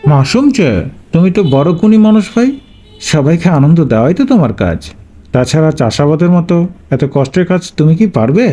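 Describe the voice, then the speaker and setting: A man's voice: fairly high pitch, expressive, brisk, warm tone, soft background music, close to an everyday voice. A man voicing a bossy yet courteous village headman in a cartoon story, instructing in a folksy way. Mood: doubtful, courteous.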